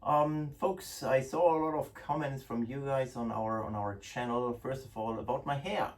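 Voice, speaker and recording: A middle-aged man from Germany with a clear German accent, talking casually to camera as the host of his shop's channel. His speech is chatty and full of fillers and restarts, with a middling pitch. He sits back from the mic, so the sound is distant and roomy. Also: moderate pace. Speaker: host, gun importer. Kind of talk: casual product talk to camera. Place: indoor office room. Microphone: far camera.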